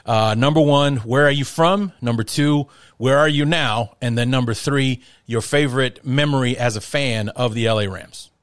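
A man's voice: mid-range voice, moderate pace, hardly any pauses, no room sound, clean studio sound. Blunt, outspoken male podcast host. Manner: blunt and opinionated, swears freely. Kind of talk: casual sports podcast talk.

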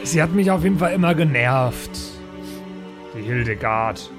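Higher-voiced man improvising role-play. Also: lively intonation, moderate pace. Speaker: tabletop role-play player. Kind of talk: casual improvised in-character chat. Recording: background music under the voice.

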